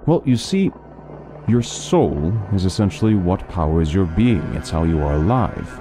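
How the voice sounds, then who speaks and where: An actor playing a learned doctor who eagerly lectures on lore in an interactive fantasy story. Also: male voice, deep voice, sing-song, unhurried, music in the background. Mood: explaining. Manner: talkative, lecturing, fond of lore. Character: scholarly male doctor, middle-aged, chatty lore lover.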